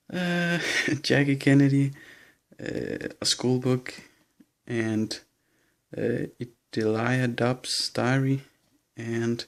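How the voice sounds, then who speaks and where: Halting young Danish man, gravelly. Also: medium pitch. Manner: hesitant, halting listing with nervous laughs. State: nervous and shaky. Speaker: vlogger. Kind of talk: casual bookshelf tour.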